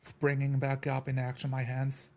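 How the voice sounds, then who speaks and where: A man's voice: mid-range voice, completely flat delivery, normal speed, vocal fry, background noise. A man playing a tabletop roleplaying game, describing his character's moves in a matter-of-fact way. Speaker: player.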